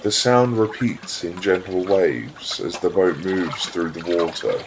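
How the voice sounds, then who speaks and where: A man with a very low voice reads a guided visualisation at a very slow pace. His delivery is calm and soothing, with room between phrases. Trickling water ambience runs clearly behind him. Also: a little hiss or hum, poor audio. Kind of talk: guided meditation, scripted narration.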